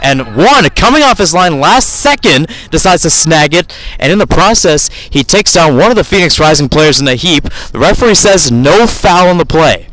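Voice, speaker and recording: A man's voice: on the high side, expressive, moderate pace, a bit bright, no room sound, poor audio. Male soccer radio play-by-play announcer, rambling delivery. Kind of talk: live soccer commentary. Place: outdoors at youth soccer fields.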